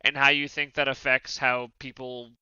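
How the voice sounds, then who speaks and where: A man's voice: mid-range voice, little pitch movement, moderate pace, sharp tone, clear, resonant tone, no room sound. A man hosting a casual podcast discussion, speaking bluntly and acting out imagined remarks as he goes. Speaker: podcast panel host. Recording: close, dry, slightly dull audio.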